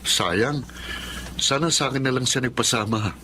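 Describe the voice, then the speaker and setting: A man's voice: mid-range voice, moderate pace, pushed and bright, close to an everyday voice. A man playing a playful, smitten grown-up brother in a Filipino drama. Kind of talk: Filipino radio-style drama acting. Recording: faint background noise.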